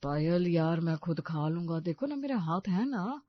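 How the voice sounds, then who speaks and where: A woman's voice: on the low side, lively intonation, normal speed, dry recording, lo-fi sound, voice pushed down. A woman voicing an embarrassed, henpecked young boyfriend who pleads and soothes with resigned patience in an audio drama.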